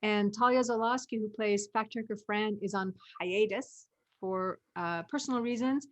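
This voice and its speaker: A woman's voice: mid-range voice, normal speed. A woman hosting a casual cast discussion, with a joking, teasing way of talking. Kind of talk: casual chat.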